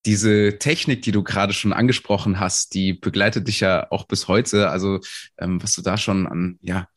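Lower-voiced male interviewer, encouraging. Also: steady tone, moderate pace. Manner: affirming, encouraging questions. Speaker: interviewer, host. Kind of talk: conversational interview.

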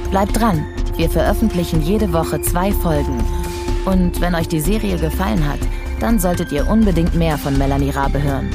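A woman announcer reading a scripted podcast outro and cast credits. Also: sits low.

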